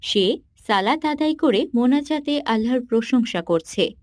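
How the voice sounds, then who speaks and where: A woman's voice: a little high, expressive, a little slow, dry recording. A woman reading scripted narration for a cartoon moral story.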